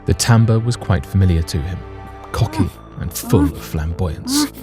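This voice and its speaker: A man's voice: low-pitched, huge pitch swings, a little slow, music in the background. A man narrating a scripted audio drama in a dramatic storytelling style.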